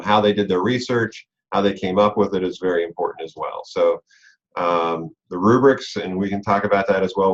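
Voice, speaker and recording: An American man explaining rules informally and step by step, as a coach leading a conference call. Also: low voice. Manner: conversational, explanatory, talks through rules step by step. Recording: dull, lo-fi conference call audio.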